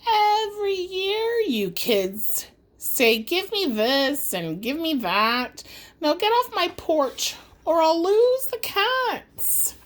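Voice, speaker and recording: A short-tempered old woman from a storybook, acted out by a woman reader. She is shrill-high and swoops as she snaps and scolds. She sounds thoroughly crabby. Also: big pitch swings, slow, exaggerated voice. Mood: angry, scolding. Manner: scolding, snapping. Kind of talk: storybook character voice.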